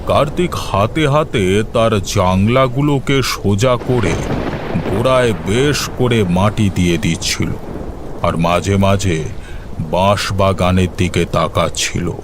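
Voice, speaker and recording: A man's voice: sits low, takes it slowly, noisy background. A man narrating a scripted horror story for a cartoon.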